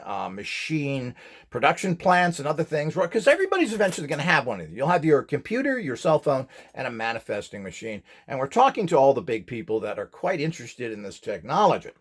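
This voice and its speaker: A middle-aged man giving an informal, opinionated lecture as an instructor, rambling off the cuff in a blunt, scornful and emphatic way. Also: mid-range voice. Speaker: instructor and host of a how-to video series.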